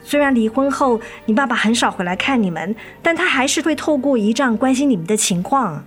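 一位女演员在广播剧中饰演操心又疲惫、爱叮咛的母亲。. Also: female voice, a little high, animated, brisk, faint music behind, lightly acted. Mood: sorrowful. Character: worried, weary, nagging mother of two daughters. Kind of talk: radio drama acting.

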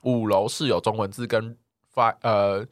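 A man's voice: lowish voice, fairly even intonation, normal speed, no echo, clean studio sound. A man with a Taiwanese Mandarin accent in casual, joking conversation as a podcast co-host. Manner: joking, teasing banter with co-host. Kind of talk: casual chat.

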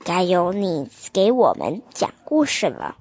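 A woman voicing a sly male robber bridegroom in a storybook tale, speaking in a low, mock-tender coaxing way. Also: huge pitch swings, measured pace, a little mellow, husky, full character voice.

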